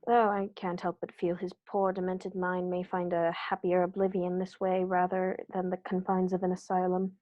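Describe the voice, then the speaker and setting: A woman's voice: on the low side, monotone, moderate pace, few pauses, dull audio, natural voice. A woman playing a stern, authoritative male detective in an old-style radio play, speaking formally. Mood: grave. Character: stern, commanding male detective, calm and righteous.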